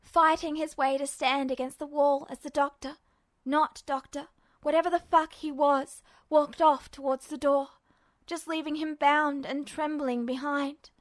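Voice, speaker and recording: Female fiction narrator, high register, dramatic audiobook delivery, dry recording. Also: steady tone, unhurried, dry recording.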